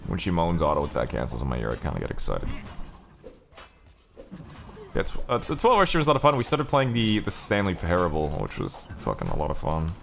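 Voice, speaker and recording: A man streaming a video game with casual, profane commentary, excitable and sarcastic in manner. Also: low-pitched, fairly quick, vocal fry, a little hiss or hum.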